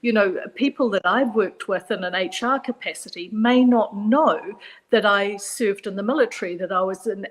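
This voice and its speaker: A middle-aged woman with a New Zealand accent talks as a psychologist guest on a radio discussion. She is measured and reflective, repeating phrases to stress her points. Her voice sits at an everyday middle pitch. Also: low-quality recording.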